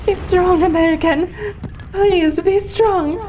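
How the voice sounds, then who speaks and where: Casting: female actor as pleading, tender-hearted young daughter, very high, drawn-out cartoon delivery. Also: steady tone, noisy background. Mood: tender, urgent.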